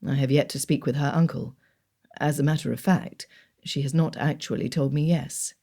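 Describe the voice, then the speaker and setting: A woman voicing a reserved young gentleman suitor who speaks low, hushed and earnest. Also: fairly even intonation, moderate pace.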